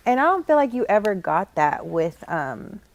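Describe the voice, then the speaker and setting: A woman in her thirties hosting a podcast in a relaxed, casual chat, filling her speech with "like". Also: medium pitch, normal speed, dull audio. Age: 30s. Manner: relaxed, filler-heavy, says "like" often. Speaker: podcast host.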